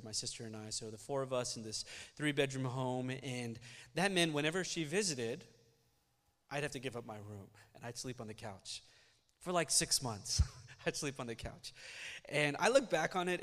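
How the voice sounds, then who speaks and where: A young man preaching a Sunday sermon as pastor, his first language Malayalam, speaking in an earnest, conversational way that grows more energetic as he preaches. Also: medium pitch. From United States. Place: church sanctuary. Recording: church hall reverb.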